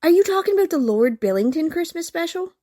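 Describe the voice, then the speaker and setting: A woman's voice: very high voice, lively intonation, quick pace, dark tone, dry recording, studio quality. An actress in a comic radio play playing a smug, scheming young woman with a sardonic, haughty, taunting manner.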